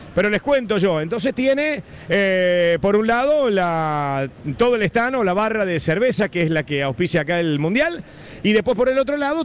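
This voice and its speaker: A man's voice: high-pitched, clear, resonant tone. A man with an Argentine accent reporting live from outdoors at a stadium, chatty and rambling, full of fillers and restarts. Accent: Argentine Rioplatense. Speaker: field reporter. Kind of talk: excited live walkabout reporting.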